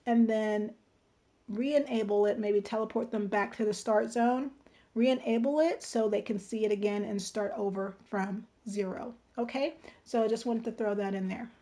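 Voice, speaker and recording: Woman, fairly high voice, mellow tone, mildly hoarse, casual tutorial host, step-by-step explainer. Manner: informal, step-by-step explaining, checking in with "right?" and "okay?". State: slightly hoarse, strained voice. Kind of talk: casual game-design tutorial.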